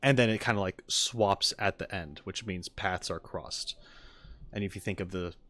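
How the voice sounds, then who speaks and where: A man doing casual live reaction commentary as a game streamer, musing and haltingly thinking aloud. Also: deep voice, fairly bright tone. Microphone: close streaming mic.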